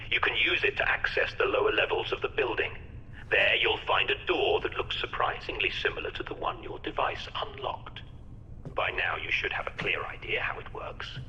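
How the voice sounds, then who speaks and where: Game villain: polite mad doctor, low, breathy and theatrical, swooping phrases, verbose menace. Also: male voice, pushed and bright, roomy sound, in a large hall, dull audio, full character voice. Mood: coolly manipulative. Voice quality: breathy, whispery. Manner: mannered, verbose, mockingly formal. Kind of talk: theatrical video game voice acting.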